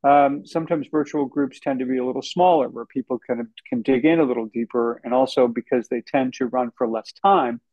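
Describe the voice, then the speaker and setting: A man answers interview questions as a guest author, speaking at a middle pitch in a relaxed, conversational way with many fillers. His audio sounds a little dull and lo-fi. There is little room sound around him. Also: brisk, few pauses, dry recording.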